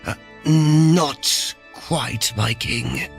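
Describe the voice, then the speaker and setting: A man playing a servile, sly royal advisor with a halting, insinuating manner in a dark fantasy audio drama. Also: medium pitch, creaky. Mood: nervous, servile. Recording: music behind.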